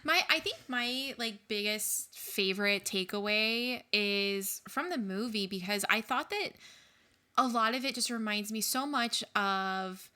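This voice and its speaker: Bright-voiced woman enthusing about films. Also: mid-range voice, measured pace. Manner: enthusiastic, descriptive, filler-heavy. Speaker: podcast co-host. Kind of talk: casual chat.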